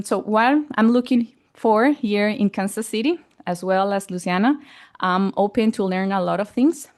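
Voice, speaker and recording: A woman whose first language is Spanish, giving a startup pitch at an event, pausing often and searching for words. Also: a little mellow. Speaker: startup presenter. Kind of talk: pitch presentation. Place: event hall.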